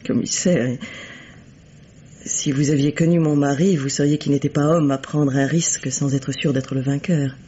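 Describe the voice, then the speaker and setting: An actress playing a composed, coolly bitter middle-aged widow in a French radio mystery play, speaking formally and deliberately. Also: female voice, low voice, steady tone, dark tone, slight background noise, close to an everyday voice. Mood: measured, disdainful. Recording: dull, lo-fi vintage radio recording.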